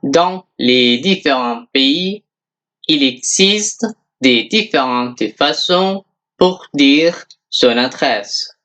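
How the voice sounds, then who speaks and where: A language teacher giving a scripted beginner lesson in a deliberate, instructional manner, repeating example phrases. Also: medium pitch, animated, a bit bright.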